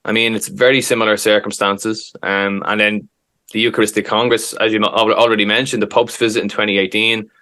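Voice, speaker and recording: A man with an Irish accent, co-hosting a podcast in a relaxed, easygoing way and moving the chat along. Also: lowish voice, fairly quick. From Ireland. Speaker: podcast co-host. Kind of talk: casual chat.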